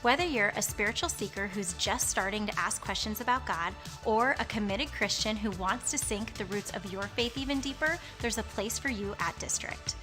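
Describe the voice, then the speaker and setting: A woman reading scripted church announcements in a smooth, upbeat, even way. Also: medium pitch, normal speed, few pauses, music in the background.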